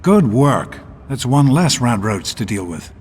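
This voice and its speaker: An actor playing a gentle, steady middle-aged father in a video game, speaking in a calm, measured, protective way. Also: mid-range voice, big-room sound, background noise. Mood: proud.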